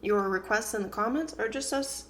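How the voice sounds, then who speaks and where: A woman's voice: on the high side, normal speed, over a noisy crowd. A woman co-hosting a casual podcast with her partner, a little congested and nasal, rambling with fillers and restarts into a desk microphone. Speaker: co-host of a couple's channel. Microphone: new desk microphones.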